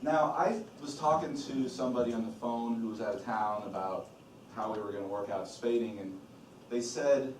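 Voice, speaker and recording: A man from Illinois, a law review editor-in-chief, leading an orientation in a relaxed, loose way with lots of "uh" and "um". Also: mid-range voice, moderate pace, a little hiss or hum. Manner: relaxed, loose delivery with frequent "uh" and "um". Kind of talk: casual spoken orientation talk. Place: meeting room with a group of students. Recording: roomy echo, voice from a distance.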